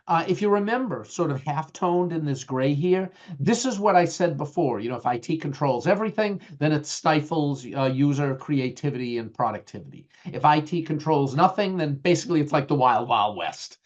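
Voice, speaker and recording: Man, boston accent, middle-aged, webinar presenter, chatty with lots of ums and restarts, a bit high, dull lo-fi audio. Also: animated, normal speed. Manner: conversational, many fillers and restarts. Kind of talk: webinar talk with slides.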